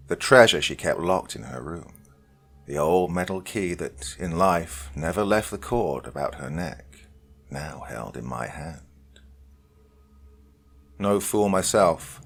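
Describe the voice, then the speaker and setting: Deep gravelly man reading horror dramatically. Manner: dramatic, swinging between loud and whispered. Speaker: story reader.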